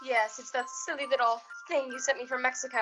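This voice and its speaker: A woman's voice: sits high, flat delivery, quick pace, close to an everyday voice. A young woman playing a sweet, doting sweetheart's recorded voice in a 1940s-style suspense radio play. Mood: cooing. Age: young adult. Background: tinkling music box.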